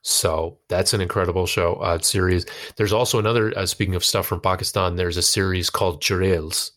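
A man's voice: low voice, fairly even intonation, a little fast, fairly bright tone. An American man chatting casually as an interview guest, feeling his way through thoughts in informal, meandering phrasing. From United States. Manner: informal, hesitant phrasing with frequent "like" and "you know". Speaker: interview guest, actor and musician.

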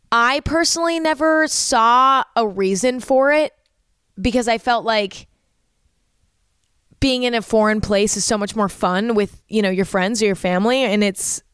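A woman's voice: on the high side, fairly bright tone, clean tone, dry recording, clean studio sound. A young woman, hosting a podcast in rambling casual chat full of "like" and "um". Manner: chatty, rambling, uses "like" and "um" a lot. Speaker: podcast host.

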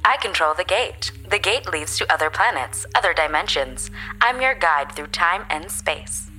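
Bright, animated AI guide Stella, played by a woman. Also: on the low side, moderate pace, faint music behind, poor audio. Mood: helpful. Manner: crisp, official, cheerfully blunt. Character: chipper, blunt female AI space guide, Stella. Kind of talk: comic sci-fi audio drama acting.

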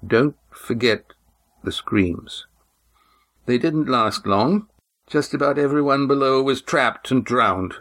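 A man voicing a tired, testy old woman ship commander who speaks bluntly and flatly. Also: mid-range voice, unhurried, creaky, pitched above the performer's own voice. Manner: testy, flat and factual.

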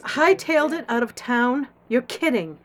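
A woman plays a brisk government official in a radio play. Her voice is high, formal and pointed, with an incredulous edge. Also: a crowd in the background. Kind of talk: radio drama acting.

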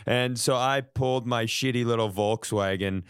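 A man's voice: medium pitch, normal speed, clear, resonant tone, no echo, clean studio sound. A man hosting a comedy podcast, telling casual comic stories in a joking, self-deprecating way with some swearing. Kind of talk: casual comic storytelling and banter.

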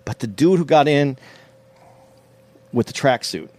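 A man's voice: mid-range voice, animated, measured pace, darkish tone. A man co-hosting a casual talk show, telling a story in a rambling way with many restarts and fillers. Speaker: podcast co-host.

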